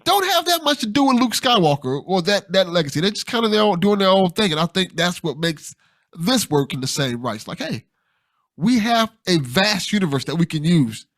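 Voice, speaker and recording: A man's voice: high-pitched, very animated, moderate pace, a bit bright. A man hosting a podcast, talking in a casual, conversational style. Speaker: podcast host.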